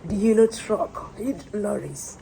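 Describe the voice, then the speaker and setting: A woman with a Nigerian accent rambling casually in a personal vlog, excitable and playful, repeating words for emphasis, over a noisy recording. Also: mid-range voice, normal speed, creaky. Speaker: solo animator and showrunner.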